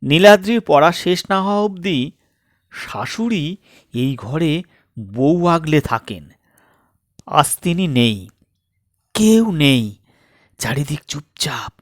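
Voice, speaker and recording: A man narrating a scripted comic story in a dramatic, theatrical way with suspenseful pauses. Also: fairly high pitch, very animated, dry recording, studio quality. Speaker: storyteller and channel host.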